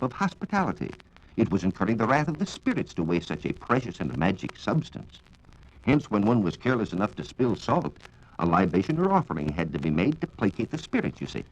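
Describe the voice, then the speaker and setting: A man with an American accent giving a formal, measured, scripted 1940s radio science talk on a dull vintage recording. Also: mid-range voice. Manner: formal, measured lecturing with rhetorical questions. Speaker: science reporter and radio speaker. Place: radio studio.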